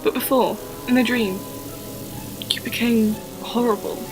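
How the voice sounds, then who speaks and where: Woman as a bewildered high-voiced young companion. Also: fairly even intonation, unhurried, over music, close to an everyday voice, rough recording. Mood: anxious. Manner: questioning. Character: bewildered young female companion of a time traveller, questioning. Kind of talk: fan audio drama acting.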